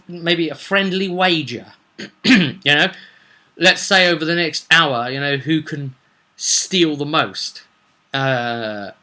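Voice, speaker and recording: Bright, forceful man recapping a roleplay game. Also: fairly high pitch. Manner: rambling, animated, acts out quotes. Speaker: game master recapping a session. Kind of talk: informal tabletop roleplay recap storytelling.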